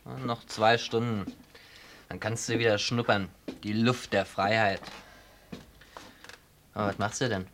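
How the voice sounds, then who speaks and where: Cocky small-time crook, slightly noisy recording. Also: male voice, on the low side, flat delivery, a little slow, long pauses, fairly bright tone. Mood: teasing, chummy. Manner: colloquial, needling, chummy. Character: cocky, teasing habitual small-time thief in jail. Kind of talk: German radio play acting.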